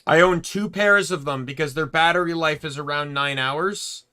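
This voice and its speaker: Bright-voiced young man chatting while gaming. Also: fairly high pitch, moderate pace, clear, resonant tone. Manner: rambling, self-correcting. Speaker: streamer. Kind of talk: casual gameplay chat. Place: home room.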